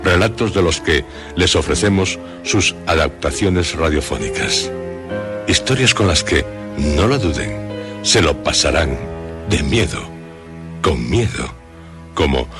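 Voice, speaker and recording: A man with a Castilian Spanish accent presenting a horror radio show in a solemn, suspenseful scripted narration with dramatic pauses. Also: a bass voice, big pitch swings. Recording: loud music under the voice. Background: background music.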